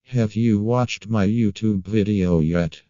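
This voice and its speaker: A man reading a scripted horror story as narrator, in a measured and deliberate manner. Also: lowish voice, mellow, no echo, lo-fi sound.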